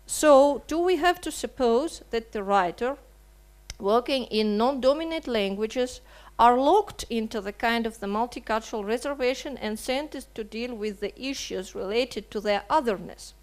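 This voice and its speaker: Woman, slightly high voice, writer reading a statement, measured and earnest, rhetorical questions. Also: animated. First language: Russian. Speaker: writer and translator. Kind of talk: reading a prepared seminar statement. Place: seminar hall.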